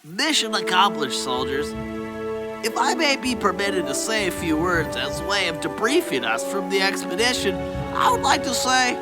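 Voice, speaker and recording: An actor playing a cocky, goofy angel soldier with mock-military pomposity and slangy chat in a comic audio drama. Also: male voice, high voice, big pitch swings, moderate pace, loud music behind the voice. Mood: pleased, mock-formal.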